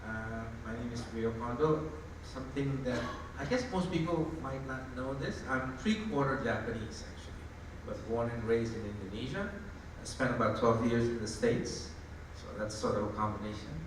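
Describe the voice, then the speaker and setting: A man introducing himself as a panellist in a relaxed, casual way with fillers. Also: mid-range voice, normal speed, few pauses. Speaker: panellist, vice president of development. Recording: echoey hall, distant mic, background noise.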